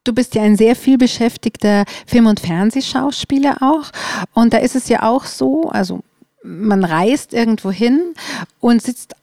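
A woman asking conversational interview questions, haltingly rephrasing them as she goes. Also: on the high side, dark tone, dry recording, clean studio sound.

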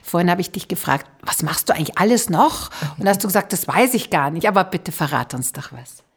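A woman's voice: lowish voice, animated, fairly quick, breathy edge. A woman hosting a conversational podcast interview in a chatty way, reacting with exclamations and putting questions to a guest. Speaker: podcast host.

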